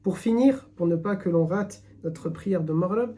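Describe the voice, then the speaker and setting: A man's voice: sits high, moderate pace, dark tone. A man giving an earnest religious lesson in French, emphatic and repeating himself as he searches for words. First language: French speaker, not Arabic. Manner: earnest, emphatic, many restarts. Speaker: Islamic teacher. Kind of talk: live-streamed religious lesson.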